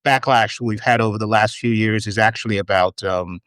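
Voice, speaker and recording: A man with an American accent talks in a casual, halting way, piling up restarts and fillers before landing a dry joke. His voice is smooth and resonant, recorded close and dry with no room sound. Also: fairly low pitch, steady tone, fairly bright tone, dry recording. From Trinidad. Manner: halting, many restarts and fillers, wry humor. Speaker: podcast guest. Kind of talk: casual chat.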